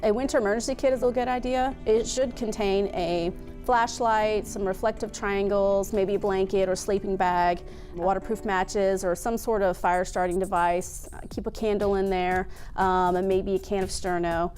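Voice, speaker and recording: A woman's voice: medium pitch, steady tone, normal speed, a little mellow, faint music behind. A woman giving practical advice in an informal way, listing items with filler words. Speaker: interviewee giving safety tips.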